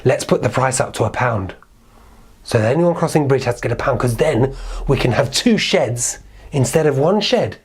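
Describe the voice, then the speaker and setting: A man's voice: mid-range voice, lively intonation, moderate pace, husky. A man voicing a big horned billy goat in a storybook tale, bold, confident, blunt and unafraid. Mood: bossy.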